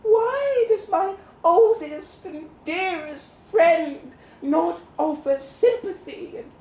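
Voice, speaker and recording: A man voicing a lovelorn ninny of a friend in a whining, melodramatic, drawn-out wail during a live story reading. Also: very high voice, a little mellow, voice pushed up, exaggerated voice. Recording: roomy, distant live recording with noise.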